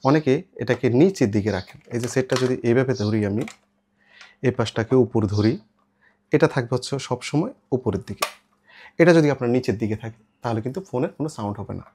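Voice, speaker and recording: Male, Bangladeshi accent, mid-pitched. Seller's informal demo, instructional, English words mixed in, slightly noisy recording with clicks. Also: brisk, darkish tone. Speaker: shop seller presenting products. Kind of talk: informal product demonstration. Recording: clear background noise with clicks.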